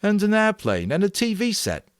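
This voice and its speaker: A man's voice: high-pitched, huge pitch swings, moderate pace, clean tone, dry recording, clean studio sound, voice pushed up. A man voicing an irritable boy leader who speaks in a sour, impatient way.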